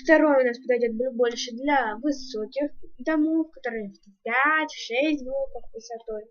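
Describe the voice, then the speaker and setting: High voiced girl gamer, woman doing a casual build walkthrough, mumbles counts to herself, muffled cheap mic. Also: normal speed. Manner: thinks aloud, counting and muttering steps. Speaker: YouTuber, gaming channel host. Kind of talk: casual game building tutorial commentary. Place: indoors at home, screen-recording voice-over.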